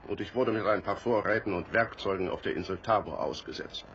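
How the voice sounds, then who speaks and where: Marooned sailor: low, remorseful, subdued delivery for radio play, muffled with faint noise. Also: male voice, a bit bright. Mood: resigned. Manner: halting, subdued confession. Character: remorseful former mutineer sailor, long marooned, gruffly ashamed. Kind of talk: German radio play acting.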